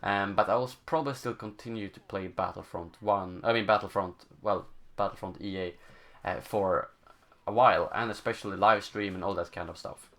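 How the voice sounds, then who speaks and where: A man's voice: fairly low pitch, creaky, fairly bright tone. A young man from Sweden with a Swedish accent, answering viewer questions on a gaming live stream and often searching for words. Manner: halting, searching for words, many fillers. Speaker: gaming channel host. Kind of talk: live stream Q&A, casual chat.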